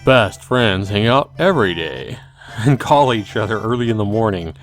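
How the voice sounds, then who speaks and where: A man's voice: lowish voice, lively intonation, moderate pace, soft background music, lightly acted. A man voicing a brash, overfamiliar young male friend in a visual novel, upbeat and pushy. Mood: cheerful, pushy. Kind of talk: visual novel character reading.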